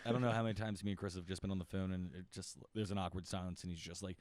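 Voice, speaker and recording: Young man, casual podcast host, lots of "like" and swearing, slightly low voice, dry room. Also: fairly even intonation, very fast, a little mellow, dry recording. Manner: laid-back, swears often, says "like" a lot. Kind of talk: casual chat.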